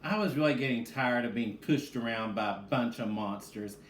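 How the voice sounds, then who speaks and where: A man with a mid-pitched voice leads a children's read-aloud lesson as a reading teacher. He speaks directly to young listeners. The room is echoey, with faint noise behind him. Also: moderate pace. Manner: addresses children directly, asks and answers own questions.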